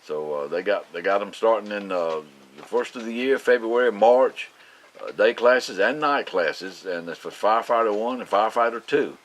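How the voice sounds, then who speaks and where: A middle-aged Southern man hosting a local farm show, rambling through announcements with lots of "uh"s, his voice deepened by a cold. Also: normal speed. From Florida Panhandle, US. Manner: drawling, rambling, many "uh"s and restarts.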